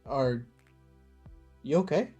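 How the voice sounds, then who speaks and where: Man acting awkward, soft-toned, nervous young guy. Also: mid-range voice, muffled recording, soft background music, natural voice. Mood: concerned. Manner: halting, fumbling, self-conscious. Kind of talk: staged play reading.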